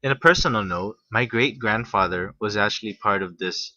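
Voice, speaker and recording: Young man, student presenter, halting delivery, lowish pitch, lo-fi recording. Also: bright, forceful tone, no room sound. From Hawaii. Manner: halting, with restarts and fillers. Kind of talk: reading a class presentation. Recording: muffled, slightly lo-fi.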